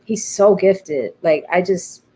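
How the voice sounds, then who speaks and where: A woman with a slightly low voice talks candidly in an interview about her creative work. She is reflective and expressive, slipping into quoted remarks as she goes. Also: slow pace, breathy edge. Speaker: playwright being interviewed.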